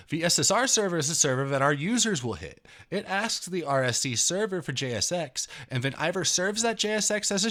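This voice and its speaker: A man in his thirties reading a technical programming tutorial aloud in an expressive, slightly dramatic way. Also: fairly high pitch. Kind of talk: read-aloud technical walkthrough with spoken asides.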